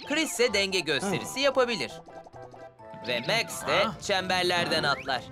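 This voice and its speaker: An actor playing an enthusiastic young circus magician in a cartoon dub, upbeat and persuasive like a pushy coach. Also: male voice, high voice, big pitch swings, normal speed, music in the background. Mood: encouraging. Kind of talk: dubbed children's cartoon acting.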